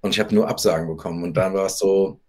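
A man's voice: deep voice, fairly even intonation, fast, a little mellow, breathy edge. Conversational man telling anecdotes. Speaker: interview guest, audio drama author. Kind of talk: conversational interview, anecdotal storytelling.

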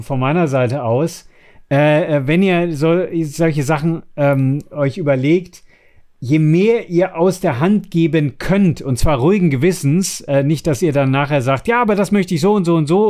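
A man's voice: on the high side, a little mellow. A man co-hosts a podcast. He chats casually and offers practical tips, often restarting words as he goes. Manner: halting, stammering over word starts. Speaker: podcast co-host.

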